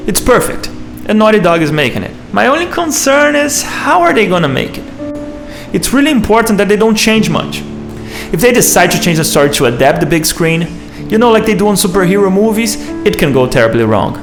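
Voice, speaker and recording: High-pitched man narrating a video essay. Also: sing-song, brisk, creaky. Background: music.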